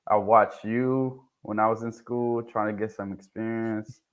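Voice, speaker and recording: A young man from Haiti with a lowish voice speaks softly and haltingly. He restarts his phrases as he tells his story as an interview guest. The sound is a bit dull and lo-fi. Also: fairly even intonation. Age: young adult. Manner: soft-spoken, hesitant, restarts often. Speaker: guest, HVAC technician. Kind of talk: casual interview talk.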